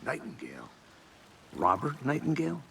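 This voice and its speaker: A man playing a gruff, world-weary FBI agent in a video game, terse, dry and cynical. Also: on the high side, wildly swooping pitch, moderate pace, a bit bright, a little hiss or hum, exaggerated voice. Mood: grim, dry. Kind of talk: scripted noir-like game dialogue.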